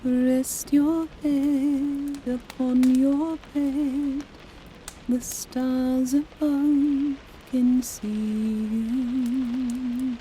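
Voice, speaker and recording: An actress voicing a kind young village woman who comforts a rescued stranger, gentle and reassuring in an audiobook drama. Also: sits high, flat delivery, extremely slow, faint music behind, rough recording. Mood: singing lullaby. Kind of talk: audiobook character acting.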